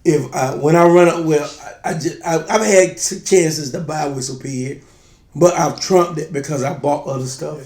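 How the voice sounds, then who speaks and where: A man with a slightly raspy, congested voice chatting casually as co-host of a drinks review show. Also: a little high, normal speed, a little mellow. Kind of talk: casual chat. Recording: roomy, echoey sound.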